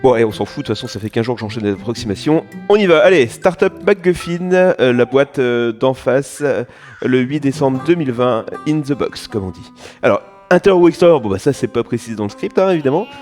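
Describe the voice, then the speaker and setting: A man's voice: mid-range voice, lively intonation, fairly quick, darkish tone, soft background music, close to an everyday voice. A man playing an exasperated, hesitant narrator who wanders off in an informal audio comedy. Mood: impatient, resigned. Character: muddled, grumbling male narrator who mistrusts his script. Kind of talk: informal, mock-improvised comic narration.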